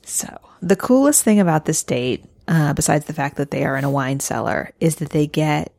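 A woman's voice: deep voice, normal speed, gravelly, a little mellow, no room sound, studio quality. A woman co-hosting a podcast, chatting as she walks through a show's plot step by step. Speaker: podcast co-host. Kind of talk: casual recap chat.

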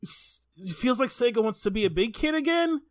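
A man's voice: very high voice, lively intonation, a little fast, no echo, studio quality. A man co-hosting a podcast, talking casually about video games in a rambling, stop-start way. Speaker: podcast co-host.

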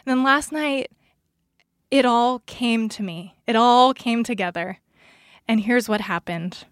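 A woman's voice: fairly high pitch, a little slow, no room sound, studio quality. A woman co-hosting a podcast, telling a story in casual chat with lots of fillers and restarts. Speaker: podcast co-host. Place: Los Angeles area home studio.

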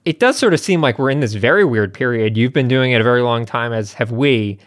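A man's voice: medium pitch, a little fast, no echo. Casual man hosting a podcast, dry close sound. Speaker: podcast host.

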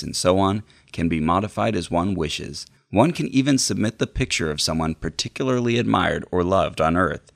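Very low-voiced man, creaky, reading aloud. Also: a bit bright, no echo, clean studio sound. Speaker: podcast co-host. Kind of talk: dramatic reading of book passages.

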